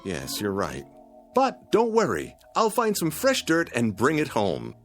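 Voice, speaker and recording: An actor playing a mild, penny-pinching husband in a radio drama, genteel, fussy and wordy, often musing to himself. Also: male voice, mid-range voice, huge pitch swings, measured pace, fairly bright tone, soft background music, exaggerated voice. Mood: cheerfully hopeful. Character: mild, penny-pinching husband, eager about free bargains.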